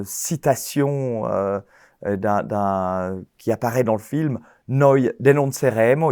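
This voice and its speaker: A man hosting a film festival interview, speaking formally and haltingly, with many pauses, fillers and restarts. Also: mid-range voice, a little mellow, no room sound, clean studio sound.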